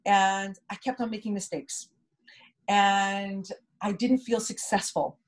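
Husky-voiced woman telling a personal story. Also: mid-range voice, fairly even intonation, takes it slowly. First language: English. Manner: candid, reflective, repeats words when stressing. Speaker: podcast co-host.

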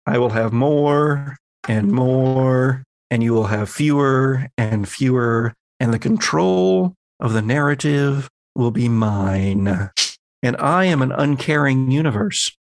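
A man's voice: medium pitch, slow pace, vocal fry, no echo. Man game master explaining rules, dry close sound.

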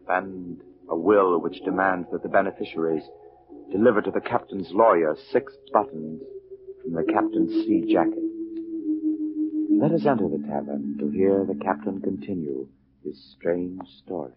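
A man's voice: deep voice, moderate pace. A man narrating a 1940s radio drama in solemn style, on a muffled old recording. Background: prominent music.

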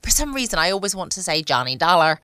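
A woman's voice: fairly low pitch, very animated, quick pace, sharp tone, slight background noise. A woman with a southern English accent, chatting as host of a bedtime podcast recorded at home. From England. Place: at home, dry close recording.

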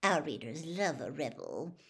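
A woman voicing a pushy, gossip-hungry female journalist who fires brisk, probing questions in an audiobook. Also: low-pitched, sing-song, gravelly, slight background noise, voice pushed down, heavily acted. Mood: prying. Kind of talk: audiobook character voice.

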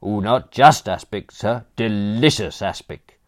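A man's voice: lowish voice, a little slow, close to an everyday voice. A man voicing a brash, cheerful male butler with a cheeky, slangy way of talking. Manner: breezy, cheeky, working-class slang.

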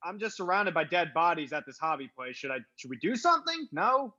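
A man improvising a bewildered, hesitant field reporter in a comedy sketch, halting and unsure. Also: fairly high pitch, sing-song, pushed and bright, muffled recording, heavily acted.

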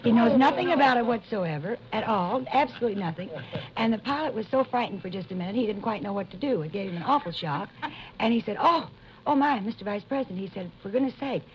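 A woman's voice: medium pitch, animated, fast talker, highs cut off, slight background noise. A woman telling an anecdote in conversation, as an interview guest, on an old radio recording. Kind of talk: anecdote told in a relaxed conversation.

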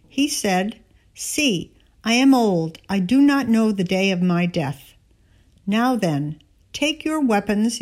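Female scripture reader, mid-pitched, very unhurried and measured, for narrated Bible passages with dialogue. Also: a little mellow.

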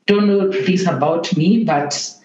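A woman's voice: lowish voice, slow, darkish tone. Female interview guest, Kenyan accent, conversational, recorded roomy and slightly dull. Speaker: podcast guest, tech activist and executive director. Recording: roomy, dull lo-fi call-like sound.

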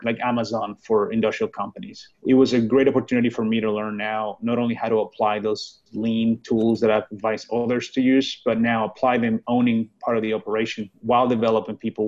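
A man from Chile, a guest on an interview show, explaining his career in a measured way with frequent fillers. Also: mid-range voice, a little mellow, lo-fi sound. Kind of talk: casual interview conversation.

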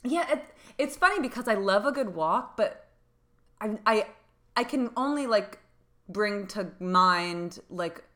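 Young woman, deep voice, casual rambling chat, lots of fillers, lively sing-song delivery, bright tone. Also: measured pace. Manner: rambling, filler-heavy, laughs often.

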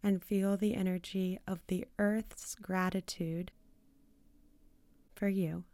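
A woman leading a guided meditation in a soothing manner, with short phrases, long pauses and soft, breathy endings. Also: medium pitch, little pitch movement, no room sound.

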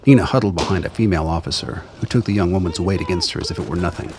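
A man's voice: low voice, very fast, background noise. A man reading scripted dramatic narration for a radio play.

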